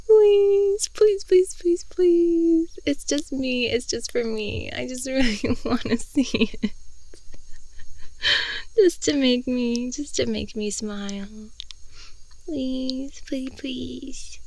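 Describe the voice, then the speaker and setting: A woman acts out a young, affectionate girlfriend in an intimate ASMR roleplay. Her voice is soft and fry-laden, recorded very dry and close. She rambles playfully at an unhurried pace, dropping now and then into a breathy murmur. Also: high-pitched, no echo, heavily acted. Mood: pleading, cutesy. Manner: rambling, giggly, with doting asides. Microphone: close headphone-oriented ASMR microphone.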